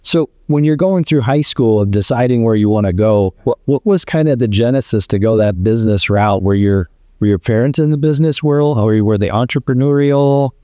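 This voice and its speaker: A man's voice: medium pitch, brisk, warm tone, no echo, studio quality. A man hosting a business podcast, asking his guest questions in an upbeat, conversational way. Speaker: podcast host. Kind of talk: interview conversation.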